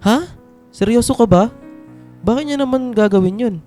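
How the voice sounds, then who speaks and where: An actor playing a caring, reassuring young husband in a radio drama, speaking with gentle concern. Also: male voice, very high voice, normal speed, faint music behind. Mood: concerned, surprised.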